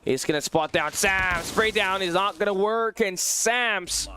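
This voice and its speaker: A man giving live play-by-play commentary on an esports match, his voice tired and hoarse, excitable and rising to shouts at big plays. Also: high-pitched, unhurried, a little hiss or hum. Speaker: esports caster. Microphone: phone on a low battery.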